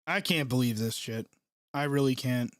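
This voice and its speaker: A man's voice: mid-range voice, moderate pace, dry recording, studio quality. A young man hosting a live sports reaction stream, stuffed up from a cold, reading chat and venting with sarcasm and swearing. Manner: frustrated, sarcastic, swears often.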